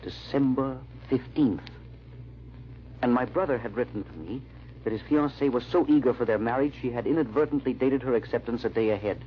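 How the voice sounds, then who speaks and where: An earnest gentleman sleuth, played by a radio actor, speaks with brooding, hesitant drama. His male voice sits at a middle pitch on a muffled vintage recording with steady noise. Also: a little fast, natural voice. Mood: haunted, brooding. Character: haunted, earnest gentleman amateur sleuth narrating his search. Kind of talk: first-person old-time radio drama narration.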